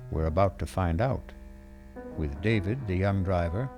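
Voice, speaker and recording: A man narrating a radio drama as its host, in a measured, suspenseful scripted style. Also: low voice, expressive. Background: music.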